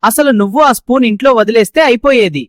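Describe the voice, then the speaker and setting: Woman as skeptical, fast-talking cartoon boy. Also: a little high, no echo, studio quality, close to an everyday voice. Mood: resigned. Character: Bantu, skeptical playful eight-year-old boy, bossy best friend. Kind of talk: children's comedy cartoon acting.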